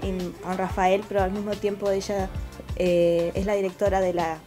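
A woman's voice: mid-range voice, flat delivery, normal speed, mellow, soft background music. A young woman with a Rioplatense accent, a guest telling her story casually in a living room. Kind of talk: casual interview talk.

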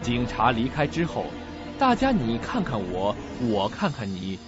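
A man's voice: mid-range voice, huge pitch swings, measured pace. A man narrating a suspense story in a dramatic, scripted style. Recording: muffled lo-fi audio with music.

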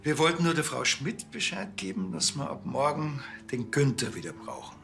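An actor playing a wry, unhurried policeman with a Bavarian accent and a dry, colloquial manner in a TV crime comedy. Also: a little high, big pitch swings, vocal fry, a bit bright, soft background music, heavily acted. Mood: wry, matter-of-fact.

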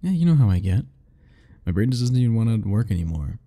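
Gravelly, dark-voiced young man, teasing. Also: on the low side, fast talker. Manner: teasing, relaxed, laughs often. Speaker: ASMR roleplay narrator. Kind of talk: ASMR roleplay as a roommate speaking to the listener.

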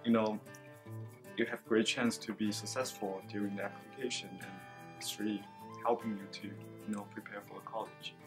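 A man's voice: fairly low pitch, steady tone, normal speed. A young man, a student speaking earnestly in a seated interview, with many fillers. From China. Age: late teens. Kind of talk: interview testimonial. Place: school library with bookshelves, indoors. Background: soft background music.

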